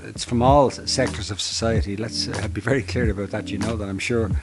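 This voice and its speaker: A middle-aged Dublin man who manages a homeless service, answering interview questions earnestly with many halting restarts. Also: on the low side, steady tone, very noisy. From Ireland.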